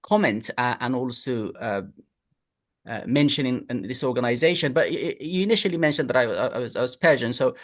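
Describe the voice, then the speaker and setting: A man from Afghanistan speaking in a group discussion, halting and repeating words. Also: medium pitch. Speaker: discussion participant.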